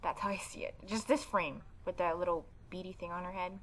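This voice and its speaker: A woman's voice: on the low side, fairly even intonation, brisk, a bit bright, a little hiss or hum, low-quality recording. A woman hosting a casual let's play, giving informal, opinionated commentary. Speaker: gaming video host.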